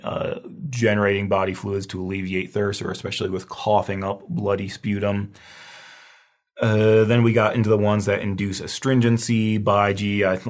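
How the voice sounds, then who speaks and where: A man with a scratchy voice that is giving out, teaching a review lesson in a loose, rambling way with lots of fillers. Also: lowish voice. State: losing his voice, scratchy. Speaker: herbal medicine instructor. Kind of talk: casual lecture review.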